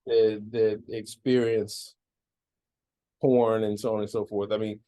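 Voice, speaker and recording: Mellow-voiced male interviewer. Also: lowish voice, level tone. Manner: measured, with frequent affirming "right". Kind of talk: interview questions.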